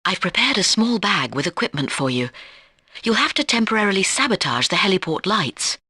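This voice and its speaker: An actress playing a cool, helpful secret agent in a video game, giving composed, scripted instructions to a partner. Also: female voice, medium pitch, very animated, a little fast, sharp tone, breathy edge, full character voice. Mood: calm, instructive. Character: cool, helpful female spy agent briefing her partner.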